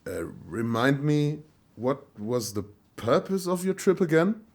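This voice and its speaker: A man's voice: on the high side, big pitch swings, measured pace, darkish tone. A man acting in an audio play as a distracted, breezy boss who speaks hesitantly and is easily sidetracked. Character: distracted, breezy male boss at a moon colony office.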